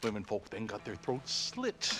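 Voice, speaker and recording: Folksy Western deputy, swinging pitch. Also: male voice, wildly swooping pitch, normal speed, sharp tone, slight background noise, heavily acted. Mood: grim. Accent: American Western. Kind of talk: American Western game cutscene acting.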